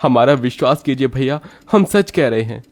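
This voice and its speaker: A man's voice: medium pitch, expressive, normal speed, natural voice. A man playing an earnest, good-hearted but troubled younger brother in a cartoon story, speaking emphatically. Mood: earnest pleading. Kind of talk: Hindi cartoon story acting.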